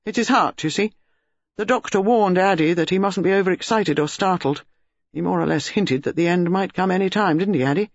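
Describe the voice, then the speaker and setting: A man doing a restless, nervous gentleman. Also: sits high, brisk, no echo, pitched above the performer's own voice. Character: tall restless nervous middle-aged man. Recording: dull, dry lo-fi recording.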